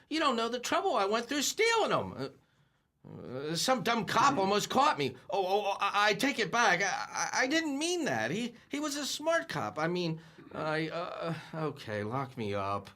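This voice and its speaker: A man's voice: high-pitched, wildly swooping pitch, moderate pace, bright, forceful tone, heavily acted. An actor playing a bumbling, lovesick young man in an old-time radio comedy, stammering and flustered. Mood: sulky.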